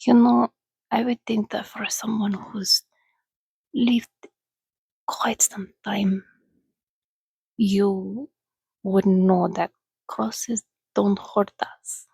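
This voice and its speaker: An ancient vampire played by a woman speaks in a very breathy, hushed whisper. She takes her time, coaxing and soothing, with a gently teasing edge, as in an intimate ASMR roleplay. The sound is dry and close, with a crackle of fry at the edges. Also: mid-range voice, level tone, no room sound, full character voice. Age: over a thousand years old, as played. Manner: slow, coaxing, conversational with pauses. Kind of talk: ASMR roleplay, soft-spoken.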